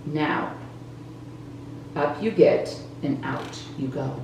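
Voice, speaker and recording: A woman voicing a gentle, loving mother reassuring her child in a children's storybook, with an echoey, distant sound. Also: deep voice, very slow, vocal fry, heavily acted. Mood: tender, reassuring. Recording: roomy echo, distant mic, faint noise.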